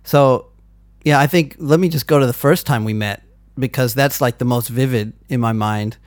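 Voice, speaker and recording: A man in his forties leads the conversation in a friendly, easygoing way, pausing to recall old memories. His voice has an ordinary middle pitch. The sound is dry and close, with no room echo. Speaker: interviewer. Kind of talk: casual conversation.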